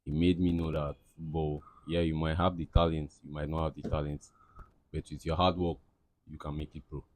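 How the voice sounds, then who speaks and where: A man's voice: extremely low pitch, flat delivery, fairly quick, darkish tone, a little hiss or hum. A young man from Cameroon, answering interview questions in a rambling, casual way with lots of fillers and restarts. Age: young adult. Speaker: interviewee, aspiring footballer.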